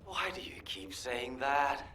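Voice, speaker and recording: A man's voice: mid-range voice, big pitch swings, slow pace, sharp tone, heavily acted. An actor playing a stubborn, emotional young male soldier in a video game, earnest and easily exasperated. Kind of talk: dramatic video game voice acting.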